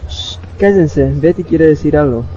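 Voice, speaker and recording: An actor playing a gossipy, dry young school prefect with a mellow voice in an amateur radio play. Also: medium pitch, normal speed, some chatter behind, close to an everyday voice. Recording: dull, faintly noisy home recording.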